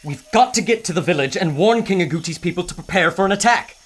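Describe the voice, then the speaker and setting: A fussy young doctor, prim and complaining, husky and a bit high. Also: male voice, lively intonation, fast. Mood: fretful. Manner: prim, protesting. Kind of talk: audio drama acting.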